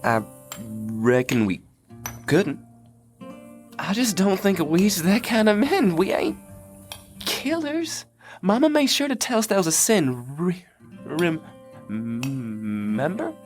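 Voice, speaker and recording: A man's voice: high-pitched, big pitch swings, slow pace, long pauses, faint music behind, exaggerated voice. A man playing a timid, dim-witted American bandit brother in an audio drama, hesitant and stammering in a folksy way. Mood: anxious pleading.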